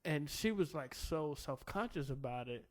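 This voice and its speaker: A man's voice: a little high, expressive, normal speed. A young man from Richmond, Virginia, co-hosting a comedy podcast in loose casual chat, laughing often and rambling through restarts. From Southside Richmond, Virginia. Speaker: podcast co-host.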